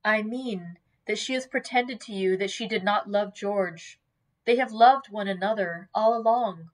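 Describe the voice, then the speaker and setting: Female reader as old man, impassioned, tender, hot-tempered, slow delivery, earnest storybook character. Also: mid-range voice, lightly acted. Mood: defiant.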